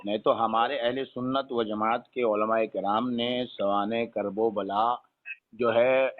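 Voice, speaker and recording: A man's voice: medium pitch, steady tone, slow. A man on a phone call, questioning and urging in an insistent, argumentative but respectful way. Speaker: caller. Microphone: telephone. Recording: narrow, tinny phone call.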